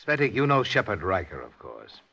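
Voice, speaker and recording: Husky, grave Communist party boss. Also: male voice, fairly low pitch, huge pitch swings, brisk, bright, forceful tone, exaggerated voice. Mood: grave, ominous. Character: grave, secretive male Communist Party boss giving orders. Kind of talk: 1950s radio drama acting. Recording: dull, lo-fi old radio recording.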